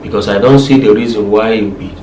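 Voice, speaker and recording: A man with a Nigerian accent and a low voice talks casually with his partner, pushing his views through pointed rhetorical questions. The room is echoey, with a faint hiss underneath. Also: fast, a little mellow. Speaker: co-host, husband. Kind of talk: casual chat.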